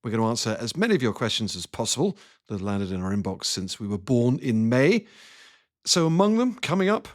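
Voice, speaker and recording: A man hosting a podcast as a journalist, lively and teasing in casual chat. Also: mid-range voice, no echo, clean studio sound.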